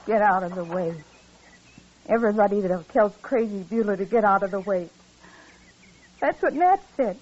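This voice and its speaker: A woman's voice: mid-range voice, normal speed, pauses often, a little hiss or hum, natural voice. An actress playing an unhinged, wronged frontier woman in a western radio drama, childlike and defiant, with a rustic American accent. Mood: bitter. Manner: plaintive, rustic, swinging from flat to frantic. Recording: muffled old radio recording.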